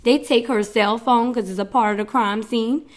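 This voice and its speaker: A woman's voice: on the high side, fairly even intonation, normal speed. A woman hosting her own podcast, talking in a chatty, rambling way with frequent laughs while she is losing her voice. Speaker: podcast host. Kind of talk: casual solo podcast talk.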